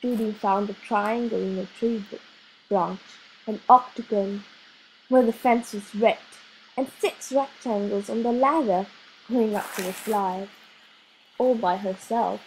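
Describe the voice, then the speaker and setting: A woman narrating a children's storybook in a slow, expressive reading with lively emphasis. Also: medium pitch, slight background noise, rough recording. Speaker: audiobook narrator.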